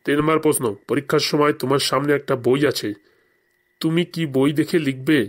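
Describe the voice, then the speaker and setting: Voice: mid-range voice, steady tone, fairly quick, natural voice. A performer voicing a stern, sarcastic male headmaster in a comedy cartoon. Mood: stern, questioning. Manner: sarcastic, scolding interrogator.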